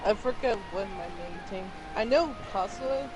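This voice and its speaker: A woman's voice: mid-range voice, expressive, moderate pace, background noise. A woman chatting casually as a companion on a game stream. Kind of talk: casual chat.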